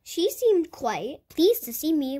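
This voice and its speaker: A woman reading a picture book aloud to children in an animated storytelling style. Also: high-pitched, takes it slowly. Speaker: children's storybook reader and host. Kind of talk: animated read-aloud narration for kids.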